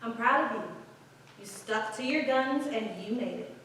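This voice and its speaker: A woman playing a bold, sharp-tongued but fond professional in a staged play reading. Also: on the high side, lively intonation, unhurried. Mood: guarded warmth. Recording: distant, echoey hall, noisy.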